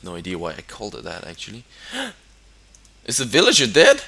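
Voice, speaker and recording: A young Danish man with a deep, low voice talks through his game build in a casual, unscripted way. He speaks English as a second language with a clear Danish accent, stumbling over restarts and fillers and chuckling now and then. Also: wildly swooping pitch, normal speed, sharp tone. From Denmark. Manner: hesitant, many fillers and restarts, chuckles often. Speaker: gamer. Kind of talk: casual gameplay commentary.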